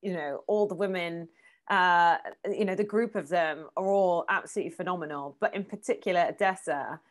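A woman's voice: lowish voice, moderate pace. A woman in her thirties asking conversational interview questions over a video call from a room at home.

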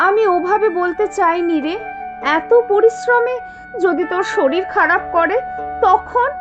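A woman voicing an anxious, caring mother in an animated story, with a worried, plaintive way of speaking. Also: extremely high pitch, unhurried, muffled recording, music in the background. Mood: anxious, tender. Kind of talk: Bengali animated story dialogue.

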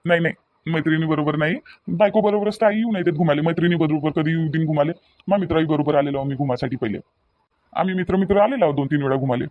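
Man acting a high-pitched, coaxing young cartoon husband. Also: fast, narrow, tinny audio, natural voice. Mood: easygoing. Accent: Vidarbha Marathi (Varhadi). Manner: insistent, repetitive coaxing. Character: young newlywed husband, reassuring, doting, a little impatient. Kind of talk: cartoon dialogue acting in colloquial dialect.

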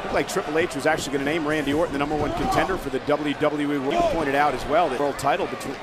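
Excitable male wrestling commentator. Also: on the high side, racing, heavy background noise. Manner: excitable, exclaiming. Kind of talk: play-by-play commentary.

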